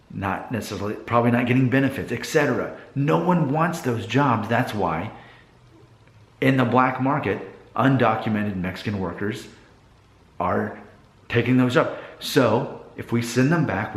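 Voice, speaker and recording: A man delivering an earnest opinion monologue as a vlogger, speaking directly and deliberately to his viewers with emphasis. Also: mid-range voice. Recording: echoey room.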